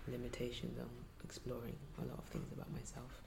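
A barber and performer from Nigeria and London, answering in a casual interview with many ums and a soft, half-whispered delivery. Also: medium pitch, completely flat delivery, fairly quick, vocal fry. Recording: heavy background noise.

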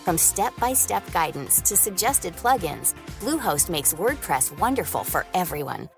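A woman's voice: fairly low pitch, big pitch swings, unhurried, vocal fry. A woman reading a scripted advertisement in an upbeat, polished sales delivery. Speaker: ad announcer. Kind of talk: scripted ad read. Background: faint music.

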